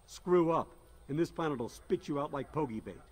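An actor playing a gruff, stern military commander in a video game, blunt and commanding. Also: male voice, fairly high pitch, animated, normal speed.

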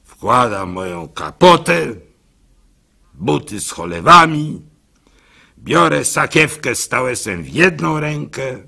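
Actor as a husky, slow-spoken old wandering storyteller. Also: male voice, fairly low pitch, sharp tone, exaggerated voice. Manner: theatrical, slow, widely swinging delivery with drawn-out words. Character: old wandering simpleton storyteller, gentle, trusting, weary. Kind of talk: dramatic first-person monologue, radio play.

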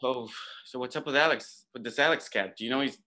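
A man's voice: medium pitch, quick pace, sharp tone, natural voice. A man in his thirties playing a hot-headed, wounded young chef in a naturalistic table read, slangy and exasperated. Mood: agitated, sarcastic. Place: room at home, video call.

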